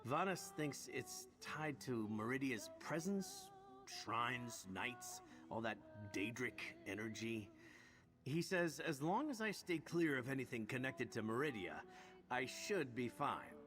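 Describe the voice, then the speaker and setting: An actor playing a roguish, sincere adventurer in a video game, wry and a little haunted. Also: male voice, medium pitch, sing-song, a little slow, over music. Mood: puzzled, earnest. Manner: wry banter turning earnest and reflective.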